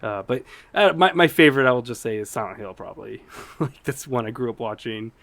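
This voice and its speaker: A man's voice: medium pitch, fairly quick, creaky, fairly bright tone, dry recording. A man co-hosting a podcast in casual conversation, often laughing lightly as he talks. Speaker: podcast co-host. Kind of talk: casual chat about video game movies.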